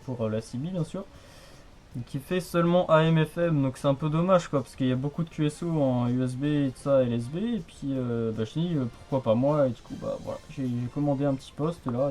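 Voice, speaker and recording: A man's voice: medium pitch, darkish tone, slight background noise. A young man chatting casually over the radio in a rambling, repetitive, colloquial way. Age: young adult. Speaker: radio hobbyist, channel host. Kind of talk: casual radio contact chat.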